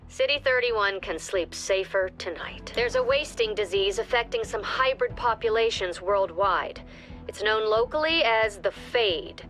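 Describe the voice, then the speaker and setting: An actress playing the authoritative director of a tactical squad in a video game, giving measured, firm mission briefings. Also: female voice, medium pitch, fairly bright tone, soft background music, close to an everyday voice. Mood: grave briefing.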